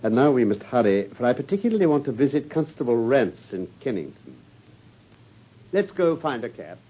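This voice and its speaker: Self-assured Victorian detective lecturing, husky tone, lively pitch, dull old radio sound. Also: male voice, brisk. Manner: crisp, confident, lecturing. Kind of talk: radio drama.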